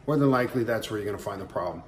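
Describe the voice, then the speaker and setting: A man's voice: lowish voice, expressive, very fast, echoey room, slight background noise. Folksy man giving pest-control tips. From Florida. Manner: friendly, plainspoken, folksy. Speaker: pest control business owner. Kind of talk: casual how-to tips to camera. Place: home kitchen, indoors. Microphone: camera or phone at arm's length.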